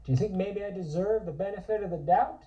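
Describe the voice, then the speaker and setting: A man's voice: sits high, fast, dark tone, natural voice. A man playing an earnest, awkward young man at an online script table read. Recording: muffled, echoey room.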